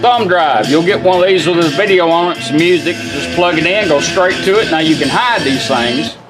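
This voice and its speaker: A man with a slightly high voice walks through a product demonstration in plain, informal language. He gives short step-by-step instructions in a folksy way. Guitar music plays noticeably behind him. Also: animated, moderate pace, rough recording. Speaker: product demonstrator, radio builder. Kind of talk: casual hands-on product demonstration.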